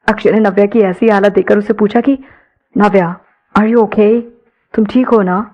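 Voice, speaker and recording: Woman storyteller, highish voice, dramatic scripted narration, slightly muffled lo-fi sound.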